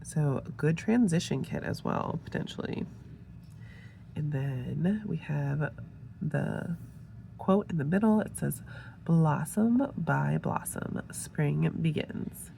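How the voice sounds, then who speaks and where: A woman getting over a head cold, sounding a little nasal, casually showing off a sticker kit with relaxed, gushing asides. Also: low-pitched, slow pace, gravelly, slight background noise. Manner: relaxed, gushing, with fillers and soft trailing asides. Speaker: planner sticker reviewer. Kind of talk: casual show-and-tell narration.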